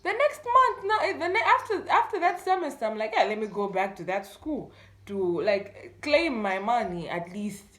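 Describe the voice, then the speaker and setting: Young female storyteller, Malawian accent, higher-pitched voice, chatty and expressive, roomy echo. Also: moderate pace. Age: young adult. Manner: animated retelling, acting out dialogue. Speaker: YouTube storyteller. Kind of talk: casual storytime chat. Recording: echoey room sound.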